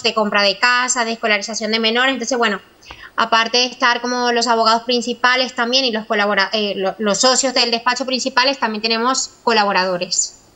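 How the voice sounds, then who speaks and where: A woman's voice: a little high, bright, forceful tone. A woman in her thirties with a Venezuelan accent, explaining things as an expert guest on a video call. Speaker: immigration lawyer, guest. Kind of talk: explanatory interview talk. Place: small office, indoors.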